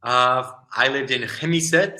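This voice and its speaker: A man's voice: medium pitch, takes it slowly, sharp tone. A young man, an English tutor, chatting casually on a video call, telling stories animatedly and mimicking locals.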